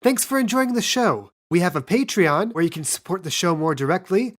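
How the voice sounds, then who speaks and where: A woman's voice: fairly low pitch, lively intonation, fairly quick. A woman hosting a tabletop podcast as game master, making casual, chatty announcements.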